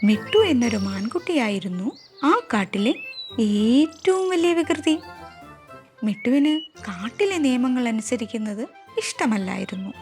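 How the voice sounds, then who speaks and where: A woman storyteller narrating a scripted moral tale for children, expressive and carefully enunciated. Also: sits high.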